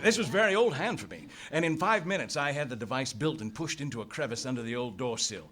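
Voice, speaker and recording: A man's voice: medium pitch, animated, fast, over music, natural voice. An actor playing a beleaguered, stoic adventurer hero in a live radio play, telling his story in a formal, dramatic way. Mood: tense, dramatic. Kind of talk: live radio play acting, scripted first-person narration. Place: theatre stage, live performance. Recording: noisy live stage recording.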